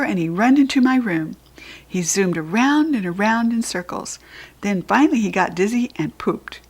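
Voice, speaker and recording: Expressive American woman reading a children's book. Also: mid-range voice, breathy edge. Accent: General American.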